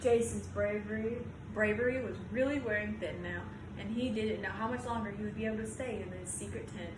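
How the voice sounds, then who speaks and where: A woman teacher reading a children's picture book aloud with expressive storytelling. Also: mid-range voice. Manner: expressive storytelling, drawn-out sound words. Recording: roomy echo, clear background noise.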